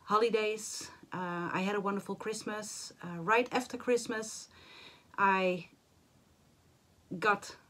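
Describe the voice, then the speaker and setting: A woman in her forties, hoarse and a little nasal after the flu, chatting to camera about her stitching projects from a small home craft room, with many fillers and restarts. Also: medium pitch, steady tone, slow pace, pauses often, fairly bright tone. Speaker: cross-stitch vlogger. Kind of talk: casual chat to camera.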